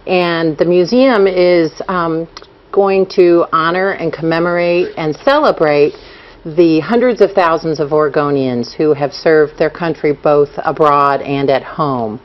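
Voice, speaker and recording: A woman who is a museum director, speaking politely with some "um" pauses in a TV interview. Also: deep voice. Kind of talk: TV studio interview. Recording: dull broadcast audio.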